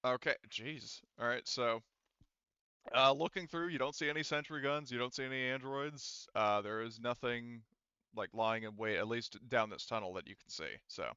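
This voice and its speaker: A man runs a tabletop roleplaying session in a casual, joking way. His voice is clear and bright, recorded dry with little room sound. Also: medium pitch, moderate pace, no echo, lo-fi sound. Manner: informal, joking. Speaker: game master.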